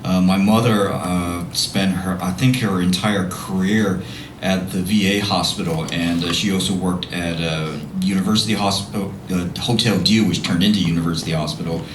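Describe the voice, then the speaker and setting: A man moderating a live panel, his somewhat low voice picked up from a distance in a reverberant room. He talks in a halting way, full of small fillers. Light background noise runs underneath. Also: fairly even intonation. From New Orleans. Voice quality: hesitant, many uh fillers. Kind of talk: panel conversation. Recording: echoey hall, mic from a distance.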